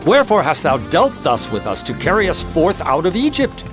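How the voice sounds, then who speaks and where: A man's voice: on the high side, huge pitch swings, a little fast. A man playing a fearful Israelite, pleading and accusing, in a biblical audio drama. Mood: fearful reproach. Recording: music behind the voice.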